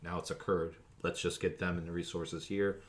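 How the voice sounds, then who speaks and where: A man speaking as a fire officer in an interview, recounting an incident in a measured, matter-of-fact way. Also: low-pitched, flat delivery.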